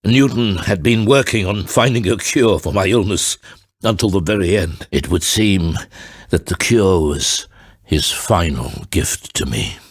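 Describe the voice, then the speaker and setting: An actor playing a grave, theatrical middle-aged father and storyteller in a video game, speaking in a deliberate, formal and grandiose way with a gravelly, husky voice. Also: low-pitched, no room sound, clean studio sound. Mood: weary, solemn. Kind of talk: dramatic video game voice acting.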